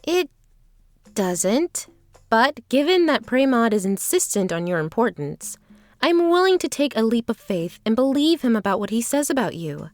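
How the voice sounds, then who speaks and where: A woman's voice: on the high side, very animated, moderate pace, no room sound, soft background music. A woman playing a sweet, trusting but determined traveling performer in a fantasy audio drama. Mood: gentle, reasoning.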